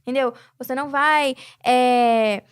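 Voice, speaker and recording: A woman's voice: sits high, steady tone, measured pace, clean tone. A young Brazilian woman, guest and charity president, talking reflectively in a casual interview with many filler tags. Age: young adult.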